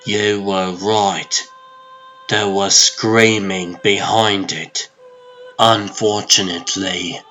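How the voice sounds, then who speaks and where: Man playing a grave, very slow-spoken sorcerer. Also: on the low side, sharp tone, exaggerated voice. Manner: grave, portentous, deliberate. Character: solemn, world-weary adult male sorcerer serving a god. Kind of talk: comic dub dramatic monologue.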